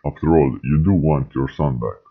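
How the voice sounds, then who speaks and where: A man voicing a sly, menacing crime boss in an amateur stop-motion film, calmly threatening and mock-polite, with a dark, husky voice. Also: rumbling low, normal speed, narrow, tinny audio, full character voice. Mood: calm menace.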